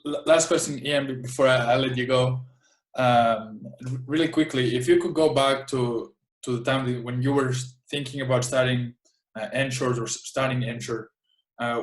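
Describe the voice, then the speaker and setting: A man with a Spanish accent hosting an interview, asking halting, stammered questions. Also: medium pitch, reverberant, rough recording. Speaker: podcast host, interviewer.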